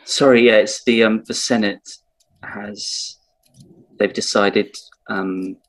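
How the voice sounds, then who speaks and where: A man's voice: lowish voice, fairly even intonation, takes it slowly, pitched below the performer's own voice, poor audio. A man playing a downcast, sentimental fellow with a hesitant, sighing delivery in improvised comedy. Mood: glum, wistful. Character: downcast, sentimental man mourning small lost rituals.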